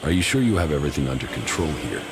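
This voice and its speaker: Very deep gravelly stoic game hero. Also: male voice, big pitch swings, very fast, background noise, exaggerated voice. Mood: questioning. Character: stoic, deep gravelly-voiced male ex-commander, blunt lone hero. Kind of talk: video game dialogue. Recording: loud music behind.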